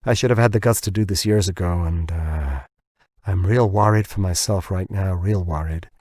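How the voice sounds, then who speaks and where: A man's voice: low voice, normal speed, a little mellow. A man voicing a bitter, ranting American man who taunts and tumbles over his words in an audiobook. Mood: worried, regretful. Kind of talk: audiobook character dialogue.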